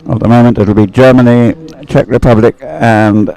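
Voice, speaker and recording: A man giving live sports commentary on boat racing, speaking in a hesitant, unhurried, explanatory way. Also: medium pitch, steady tone, dark tone, rough recording. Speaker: race commentator.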